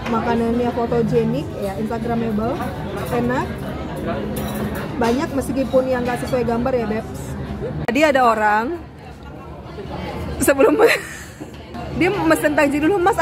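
A young woman, chatting playfully and teasingly as she reviews food in casual slang. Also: high voice. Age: young adult. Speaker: food vlogger. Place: indoor mall restaurant. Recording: loud music and busy restaurant chatter. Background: music and diners' chatter.

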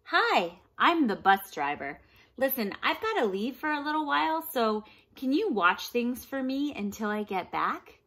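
Lady reading as a chirpy friendly bus driver guy in a kids book, bright and animated. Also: a little high, measured pace, pitched above the performer's own voice, heavily acted. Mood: cheerful. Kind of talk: children's storybook read-aloud.

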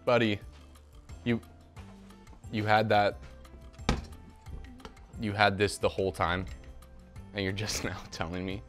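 A man's voice: fairly low pitch, fairly even intonation, slow pace, long pauses, fairly bright tone, faint music behind. A young man hosting a casual home cooking show in a kitchen, chatting upbeat while talking to a silent mascot beside him, on a lapel mic. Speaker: host.

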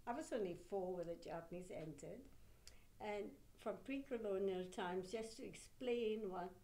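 Older woman, Anglo-Burmese accent, author; unhurried, reflective, pausing. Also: medium pitch, dark tone. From Burma. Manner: unhurried, reflective storytelling with pauses. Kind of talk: interview answers. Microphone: table mic on a stand.

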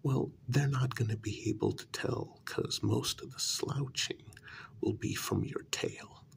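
A man playing a cajoling talent agent in a soft-spoken ASMR role-play, half-whispering gently and coaxingly, close to the microphone. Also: mid-range voice, fairly even intonation, gravelly. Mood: patient, persuading. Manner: gentle, coaxing, deliberate with pauses. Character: short, cajoling male talent agent to monster women.

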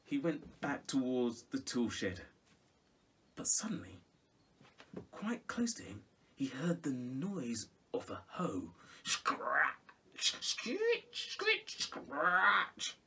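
A middle-aged man telling a children's story in a theatrical, expressive way. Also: mid-range voice, very slow, slight background noise. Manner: theatrical, expressive, with hushed whispers and exclamations. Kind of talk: animated storybook reading. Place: small home music room indoors.

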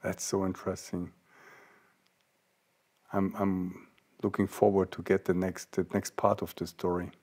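Older male host, low voice, unhurried halting delivery, reflective conversation. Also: flat delivery, darkish tone. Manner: halting, word-searching delivery. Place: indoor room, seated.

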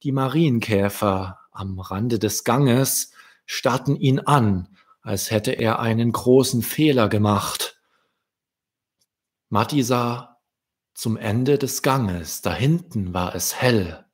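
A young man from Germany telling stories to children in a deliberate manner, as an author hosting his readings. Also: medium pitch, husky. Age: young adult. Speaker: children's author, channel host. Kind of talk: storytelling for children. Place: small indoor room. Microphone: close desk microphone with pop shield.

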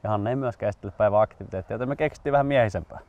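A man's voice: lowish voice, darkish tone, muffled recording. A man in his twenties hosting, moving between presenter-style announcements and casual chat. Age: 20s. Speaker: host.

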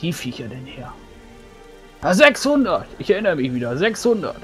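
A man's voice: a little high, very animated, normal speed, soft background music. A man playing a blunt, knowing adventurer who talks colloquially in a tabletop roleplay. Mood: recalling.

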